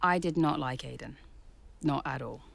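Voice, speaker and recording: A woman's voice: extremely low pitch, moderate pace, vocal fry, fairly bright tone, dry recording, exaggerated voice. A woman playing a blunt, defiant young racing driver in a video game cutscene, with a curt, confrontational manner. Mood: resentful, candid.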